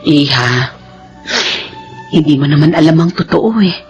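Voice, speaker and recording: A woman's voice: deep voice, unhurried, a little mellow, dull audio, faint music behind. A woman playing a welcoming, encouraging middle-aged aunt in a Filipino radio drama.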